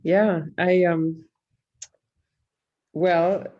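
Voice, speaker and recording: Female interview guest, Californian American, thoughtful and halting conversational delivery, slightly muffled lo-fi recording. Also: on the low side, level tone. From California, United States. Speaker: interview guest, musicologist and fiddler.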